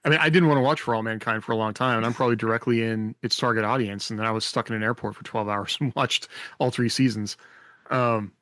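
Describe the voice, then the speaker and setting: A man's voice: mid-range voice, fairly even intonation, fast, a bit bright, no room sound. Dry, close-sounding male podcast co-host. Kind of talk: casual panel discussion.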